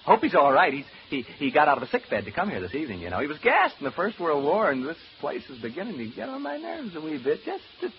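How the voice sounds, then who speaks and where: An actor playing a nervous, rambling radio broadcaster alone in a haunted house, stammering through asides to listeners in an old radio horror play. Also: male voice, a little high, huge pitch swings, fast, few pauses. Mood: uneasy. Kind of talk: old-time radio horror drama acting. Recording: muffled old radio recording, background hiss.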